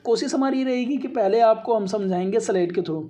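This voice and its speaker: A man's voice: high voice, brisk, dark tone. A man teaching as an instructor, going through a slide lecture point by point and repeating himself as he explains. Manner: repetitive, reads points out then re-explains them. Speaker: radiography instructor.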